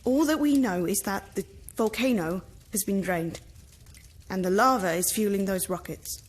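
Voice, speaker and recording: A woman's voice: mid-range voice, normal speed, a little hiss or hum, natural voice. A woman playing a grave rebel villager in an audio drama, earnest and explanatory. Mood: grave, informative.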